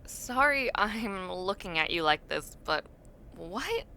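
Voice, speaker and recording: Bright, expressive young student neighbour played by a woman. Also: mid-range voice, slight background noise, exaggerated voice. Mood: amused, teasing. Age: young adult. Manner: teasing, casual, expressive with drawn-out words and murmured reactions. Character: friendly, teasing young woman university student, a neighbour in the dorm. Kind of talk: audio roleplay, casual one-sided conversation with the listener.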